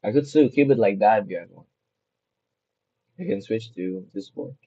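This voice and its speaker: A man hosts a racing livestream in a very dark, husky voice, talking loosely and quickly apologizing for slips. The audio is dull and lo-fi, like a voice chat. He keeps the tone casual and chatty. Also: fairly low pitch. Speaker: broadcast host.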